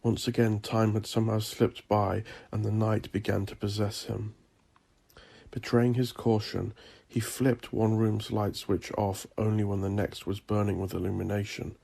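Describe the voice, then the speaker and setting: A man reading dark fantasy horror as a scripted story, with a measured, deliberate delivery. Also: lowish voice, little pitch movement, gravelly. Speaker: audiobook narrator.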